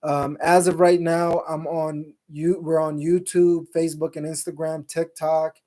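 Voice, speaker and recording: A man with a fairly high but mellow, warm voice hosts a casual live stream. He rambles easily through his points, filling pauses with ums and uhs and restarting sentences. He drops Islamic phrases in between as he reads viewer comments aloud. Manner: relaxed, rambling with many fillers and restarts. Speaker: show host. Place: Salt Lake City, Utah.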